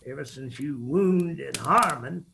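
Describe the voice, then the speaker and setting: A creaky, menacing Appalachian uncle. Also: male voice, medium pitch, slow, bright, forceful tone, slight background noise, full character voice. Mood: goading. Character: hard, menacing mountain uncle ready to kill. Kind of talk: radio drama acting.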